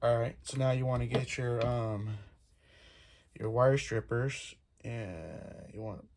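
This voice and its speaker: Guy doing a casual how-to, gravelly mid-pitched voice, talking as he works, bit of noise and clicking behind him. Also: slow. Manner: informal, talks through each step while working. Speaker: DIY video host. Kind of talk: casual hands-on tutorial talk. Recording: clear background noise, clicks.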